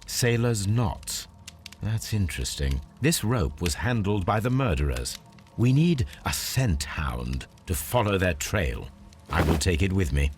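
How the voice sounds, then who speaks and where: An actor playing a composed, analytical Victorian English gentleman detective in a video game, musing precisely and deliberately. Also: sits low, sing-song, soft background music.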